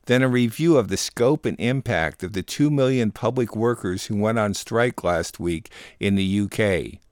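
A man's voice: lowish voice, moderate pace, a little mellow, no room sound, clean studio sound. An American man hosting a radio programme, reading out scripted announcements. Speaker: radio show host.

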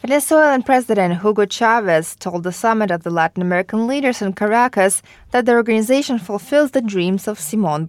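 A woman's voice: mid-range voice, no room sound. A woman reading scripted radio news as a newsreader.

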